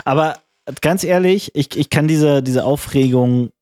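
A man's voice: fairly high pitch, unhurried, a little mellow, no room sound. A man co-hosting a casual podcast chat while he has a cold, rambling along in coarse, colloquial talk with many restarts. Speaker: podcast co-host.

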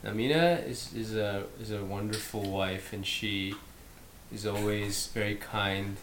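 A man's voice: fairly low pitch, fairly even intonation, a little slow. A man co-hosting a casual podcast chat, teasing his friend with dry jokes. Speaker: podcast co-host. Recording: roomy echo with faint noise.

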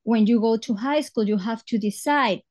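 A woman from Ecuador with an Ecuadorian Spanish accent, a Spanish teacher and guest, chatting in an animated way with laughs and restarts. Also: on the high side, normal speed. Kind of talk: casual interview talk.

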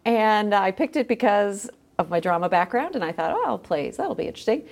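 A woman's voice: mid-range voice, lively intonation, fairly quick. Middle-aged woman, thoughtful interview guest. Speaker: guest, theology scholar with drama background. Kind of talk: thoughtful podcast interview talk.

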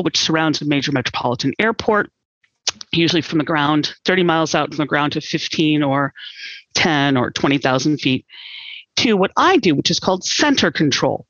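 A middle-aged American woman explaining her work as an interview guest, in deliberate, measured phrases. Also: sits low, a bit bright, dry recording, highs cut off. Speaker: air traffic controller, interview guest.

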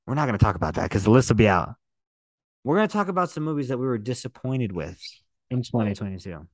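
A man's voice: medium pitch, sing-song, fast talker, no room sound. A man hosting a film podcast in casual chat, enthusiastic and profane, rambling with restarts. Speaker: podcast host, film reviewer.

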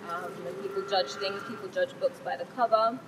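A woman's voice: mid-range voice, steady tone, a little fast, noisy background. A woman born in Thailand, whose first language is Thai, answering interview questions thoughtfully and earnestly, with frequent fillers and restarts. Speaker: author being interviewed.

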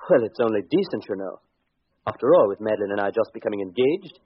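An actor playing an awkward, priggish young English gentleman in a 1940s radio drama, stiff and apologetic. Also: fairly low pitch, expressive, fast talker, lightly acted. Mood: awkward, firm. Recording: muffled, scratchy 1940s radio, faint music.

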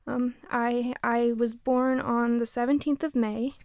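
A nervous young ship mechanic stammers through her answers in a fairly high voice, as a woman plays her. The sound is dry and close to the mic. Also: little pitch movement, a little slow, a little mellow, no room sound. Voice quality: hesitant, stammering.

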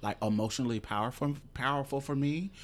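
A man's voice: medium pitch, fast. A host in his forties from Indianapolis who talks in a chatty, emphatic way and swears casually. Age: 40s. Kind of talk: casual interview.

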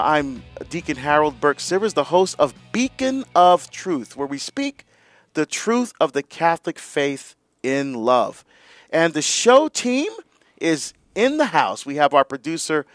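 Relaxed talk-radio host, higher voice. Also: male voice, measured pace, no echo, soft background music. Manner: relaxed explaining with fillers and laughs.

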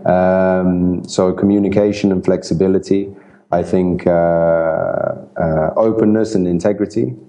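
A low-voiced middle-aged man who grew up in England and spoke Italian at home, talking as an aid worker in an interview. His tone is very dark and mellow. He chooses his words slowly and thoughtfully, restarting his sentences often. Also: steady tone. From Italy, raised in England. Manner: hesitant, many fillers and restarts, thoughtful. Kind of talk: interview answers.

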